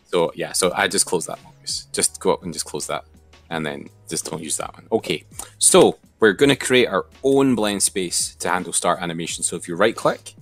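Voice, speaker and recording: Male software tutor, deep register, informal and hesitant walk-through, faint music bed. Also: normal speed. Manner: hesitant, thinking aloud. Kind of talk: informal step-by-step screen tutorial.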